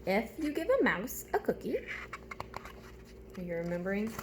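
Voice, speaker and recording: A woman's voice: mid-range voice, sing-song, normal speed, slight background noise. A woman teacher reading a storybook aloud to young children, chatting to them directly with simple questions and step-by-step prompts.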